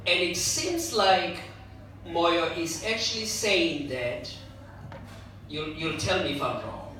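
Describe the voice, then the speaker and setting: Slow-speaking male moderator in an echoey hall. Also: a little high, expressive, pushed and bright. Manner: slow, probing, teasing. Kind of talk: moderating a panel discussion. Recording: echoey hall from far off, noisy.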